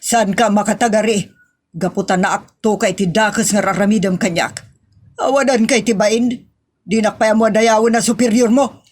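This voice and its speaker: A woman's voice: mid-range voice, fairly even intonation, normal speed, a little mellow, natural voice. A woman with a Filipino accent playing a spiteful, haughty office superior, scornful and confrontational in a radio-style drama. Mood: indignant. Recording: faint noise and clicks.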